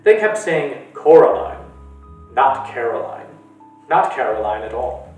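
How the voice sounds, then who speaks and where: An eccentric old man from a storybook, secretive and odd, his voice swinging between hushed and booming, as a man puts him on. It sounds roomy and echoey, far from the mic, with faint music. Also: big pitch swings, a little slow. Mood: mysterious. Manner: secretive, whispering at times.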